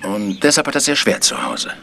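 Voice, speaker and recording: An actor playing a protective, proud village organ teacher, earnest and measured, in a German radio mystery. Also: male voice, lowish voice, sing-song, vocal fry, sharp tone, a little hiss or hum, full character voice.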